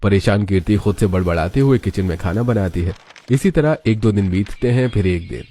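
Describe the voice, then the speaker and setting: A man's voice: lowish voice, fairly quick, warm tone. A man narrating a scripted cartoon story. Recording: music behind the voice. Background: background music.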